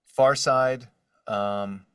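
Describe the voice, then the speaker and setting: A man chatting casually and enthusiastically as an interview guest, in an expressive way. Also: mid-range voice, drawn-out words, clear, resonant tone, no room sound, clean studio sound, distant mic. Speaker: guest, voice actor and artist.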